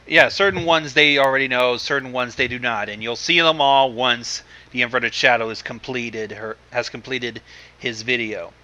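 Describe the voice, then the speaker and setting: A man's voice: mid-range voice, fairly even intonation, normal speed, pushed and bright, dull audio. A man hosting a livestream with casual chat over a voice call. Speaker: livestream host.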